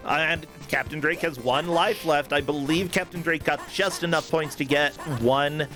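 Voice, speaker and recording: Higher-voiced man commentating, music behind. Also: steady tone, moderate pace. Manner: enthusiastic, conversational. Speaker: esports commentator. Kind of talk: live gaming tournament commentary.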